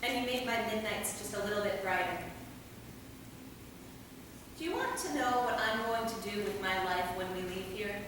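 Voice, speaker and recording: A woman's voice: mid-range voice, moderate pace, pauses often, natural voice. A woman playing a tender, hopeful, devoted immortal centuries old in a staged play reading. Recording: echoey hall, distant mic, clear noise.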